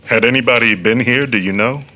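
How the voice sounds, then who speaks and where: A man playing a concerned, careful gentleman in an audio drama, asking questions deliberately. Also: medium pitch, close to an everyday voice. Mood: thoughtful, concerned.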